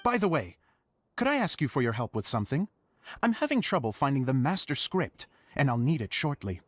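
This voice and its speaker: An actor playing an affable, self-effacing, passionate theater director in a video game, speaking in an expressive, conversational way. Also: male voice, mid-range voice, brisk, dry recording, clean studio sound. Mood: friendly. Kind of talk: English video game voice acting. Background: faint music.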